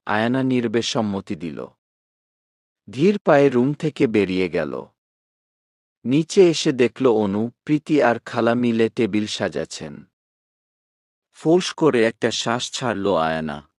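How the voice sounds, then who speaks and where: A man narrating a romantic story from a script in a measured, expressive reading style. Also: fairly low pitch, no room sound, studio quality.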